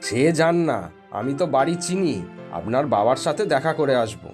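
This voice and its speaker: A man's voice: mid-range voice, lively intonation, normal speed, a little mellow, natural voice. A man playing a sensible village doctor in a cartoon, matter-of-fact with a dry, teasing manner. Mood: agreeable, reassuring. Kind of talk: animated cartoon dialogue. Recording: music behind the voice.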